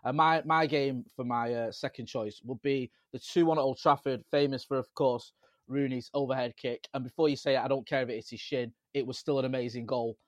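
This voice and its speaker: A man with a Manchester accent chatting on a football podcast and telling lively stories about his days as a local radio reporter. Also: mid-range voice, a little fast, no echo. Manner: animated storyteller, jumps into mimicry of fans. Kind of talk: casual football podcast chat.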